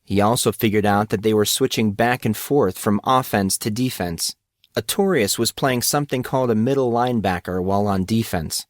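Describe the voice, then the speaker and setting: A man's voice: fairly low pitch, moderate pace, no room sound, studio quality. A man reading scripted fiction aloud as the narrator of a story.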